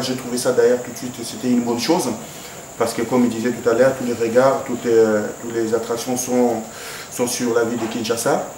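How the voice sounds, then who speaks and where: A man's voice: medium pitch, darkish tone. A man, a former football player, giving a formal, hesitant address to a governor, with many fillers and restarts. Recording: echoey room, background noise.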